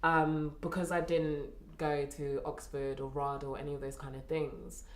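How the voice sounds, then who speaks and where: A woman's voice: low-pitched, level tone, unhurried. A woman with a London accent speaking candidly in an interview. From Streatham, southwest London, England. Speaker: actor being interviewed. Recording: roomy echo.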